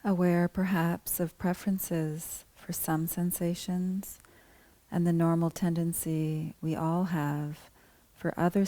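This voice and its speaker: A woman guiding a body scan meditation as a teacher, speaking calmly in measured, gently spaced phrases. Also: low voice, dry recording. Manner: calm, unhurried, gently measured phrasing with pauses. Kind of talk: guided meditation, scripted instruction.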